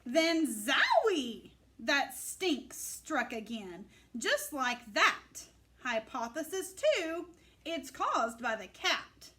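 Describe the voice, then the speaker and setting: A woman reads a rhyming story for children in a high voice that swings widely in pitch. She takes her time, phrasing each line with clear emphasis and expression. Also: very animated, very slow, pushed and bright. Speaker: storybook reader. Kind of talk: animated read-aloud of a rhyming children's picture book.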